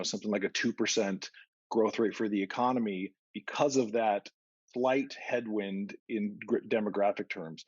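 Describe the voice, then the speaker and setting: A husky-voiced man speaks as an investment analyst on a conference call, laying out market commentary methodically and conversationally. His voice sits a little low. The audio is dull and lo-fi. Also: no echo. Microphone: conference call line.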